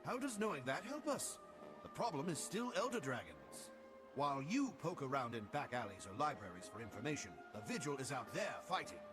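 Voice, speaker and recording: An actor playing a gruff, impatient soldier of a fantasy war order in a video game, blunt and declamatory. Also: male voice, medium pitch, sing-song, brisk, over music, natural voice. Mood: impatient, challenging.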